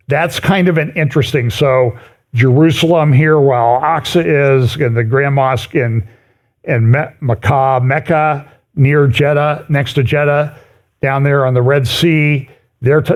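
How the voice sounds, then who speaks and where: A man speaks to a church as he works through news items. His voice sits at an ordinary middle pitch. The delivery is informal and conversational, halting, with phrases often broken off and picked up again. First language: English. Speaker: speaker giving a prophecy update at a chapel. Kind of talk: informal church talk commenting on news articles.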